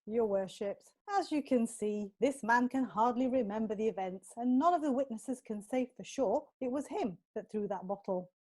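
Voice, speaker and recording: A woman plays a defence lawyer in a courtroom audio play. Her voice sits a little high. She argues formally and earnestly, with a persuasive, pleading manner. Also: normal speed, few pauses, warm tone, natural voice. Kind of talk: courtroom audio drama acting.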